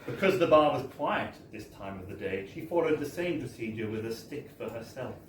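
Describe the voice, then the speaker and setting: A man's voice: medium pitch, expressive, a little fast. Wry man reading aloud, echoey live room. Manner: wry, mock-formal, theatrical delivery. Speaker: reader of a humorous book. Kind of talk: comic story reading to a live audience. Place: bar, indoors, at a live book launch. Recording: echoey live bar recording, distant, faint noise.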